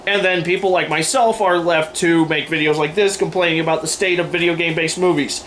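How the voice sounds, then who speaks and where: A man with a notably high voice hosts an opinion video. He delivers scripted commentary with sarcasm and firm emphasis. There is faint room noise behind him. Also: steady tone, fairly quick, a bit bright. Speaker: video host. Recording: noisy room recording.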